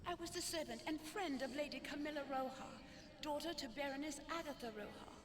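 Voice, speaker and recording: A woman playing a guilt-stricken young servant girl in a video game, confessing haltingly. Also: high-pitched, noisy background, close to an everyday voice. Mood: sorrowful confession. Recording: loud music behind the voice.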